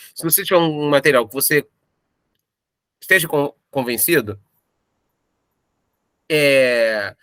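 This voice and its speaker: A Brazilian man with a bright, forceful voice in a very dry recording. He talks informally, as in a casual interview, repeating phrases for emphasis. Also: fairly high pitch, no echo. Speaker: audio drama creator. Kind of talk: casual making-of interview chat.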